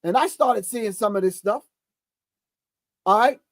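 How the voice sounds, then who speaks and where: A man's voice: sits high, measured pace, no echo, clean studio sound. A man in his sixties hosting a show, reacting to a video with emphatic, preachy commentary and repeated key phrases. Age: 60s. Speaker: host.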